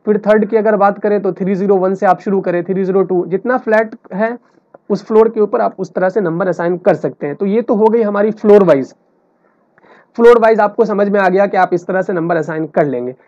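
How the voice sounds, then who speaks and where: A high-pitched man teaches in an informal tutorial style. He explains step by step, repeating himself and dropping in English terms. The recording is dull and lo-fi. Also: fairly quick, darkish tone. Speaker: technical tutorial instructor. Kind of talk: explanatory screen tutorial, unscripted. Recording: dull lo-fi voice-over.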